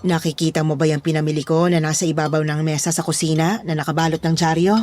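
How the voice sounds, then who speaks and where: A woman's voice: low-pitched, little pitch movement, fast talker, darkish tone, slight background noise, natural voice. A woman playing a stern, secretive lady employer who questions and orders her houseboy in an audio horror drama. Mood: commanding. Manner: bossy, questioning.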